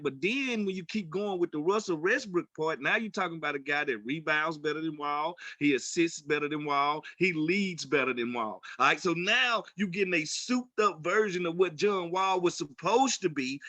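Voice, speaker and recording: Guy w/ high sharp voice cohosting sports talk, slangy n punchy, really emphatic. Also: no room sound. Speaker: sports show co-host.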